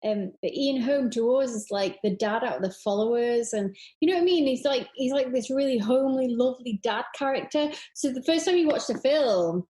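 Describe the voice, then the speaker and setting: Higher-voiced British woman, echoey room. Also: lively intonation, fairly quick, few pauses, dark tone. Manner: acts out her reactions in quoted exclamations. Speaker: podcast guest. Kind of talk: casual, animated anecdotal chat.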